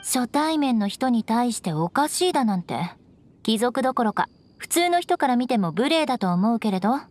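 A woman's voice: fairly high pitch, big pitch swings, moderate pace, a little mellow, slight background noise. A woman voicing a proud, aloof young noblewoman knight in an anime game, with composed, elegant, haughty phrasing. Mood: cool. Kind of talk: Japanese anime game voice acting. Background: orchestral game music with strings.

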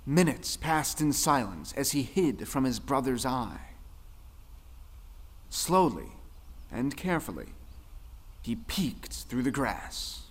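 Slow-paced man narrating a folktale. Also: mid-range voice, sing-song. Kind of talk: scripted folktale narration in an audio drama. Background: music.

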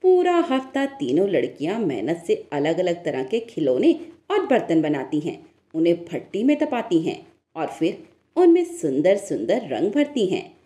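A woman's voice: fairly low pitch, wildly swooping pitch, measured pace, mellow. A woman narrator telling a scripted moral story in a storytelling style.

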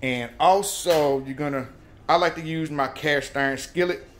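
A man hosting a home cooking show, explaining each step in a folksy, relaxed way. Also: on the high side, normal speed, slight background noise. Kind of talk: casual cooking demonstration. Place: home kitchen at the stove. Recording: echoey kitchen room. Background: oil frying and sizzling in a skillet.

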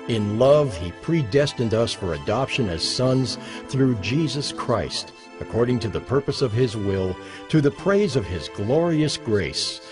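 A man reading scripture as a dramatized narration, solemn and measured, with emphatic phrasing. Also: medium pitch, sing-song. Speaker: Bible narrator. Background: music under the voice.

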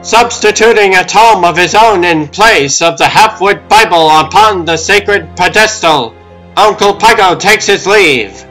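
A male narrator delivers dramatic comic-book captions. His voice is slightly high and forceful, measured in pace, with faint music beneath. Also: poor audio.

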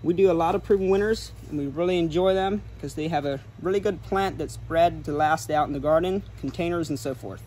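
A man's voice: a little high, a little fast, few pauses, a little mellow. A young man giving an informal, explanatory tour as a guide, recorded on a handheld camera. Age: young adult. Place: large greenhouses and garden center yard. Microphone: camera or phone a few steps away. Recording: clear background noise, handheld camera video.

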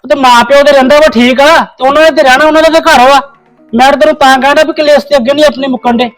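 A man's voice: very high-pitched, fairly even intonation, racing, fairly bright tone, faint music behind, low-quality recording. A man playing an impatient young husband in a family skit, brushing off his wife with annoyed retorts. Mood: annoyed, dismissive. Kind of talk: scripted family comedy skit.

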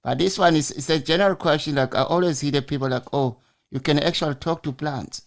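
A man's voice: on the high side, a little fast, creaky. A man in his forties hosting a podcast over a video call. He asks long, winding questions and often restarts mid-sentence. He speaks into a large studio mic at home. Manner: rambling questions, frequent restarts. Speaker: podcast host. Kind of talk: casual interview. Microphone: large studio mic on arm.

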